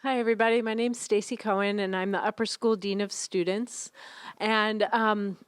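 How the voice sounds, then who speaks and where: A woman's voice: mid-range voice, fairly even intonation, moderate pace, smooth, no room sound. A woman, a dean of students, introducing herself earnestly at a school panel. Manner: earnest, enthusiastic about students. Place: school dorm common room.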